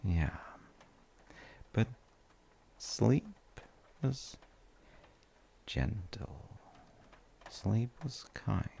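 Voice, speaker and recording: A man playing a gentle, musing father in a sleep-aid audio roleplay, talking in unhurried, halting rambles with a low, gravelly voice. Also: very animated, slight background noise, full character voice. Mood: soothing, musing. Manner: unhurried, musing, halting with soft trailing phrases. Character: gentle, musing father comforting his tired grown child.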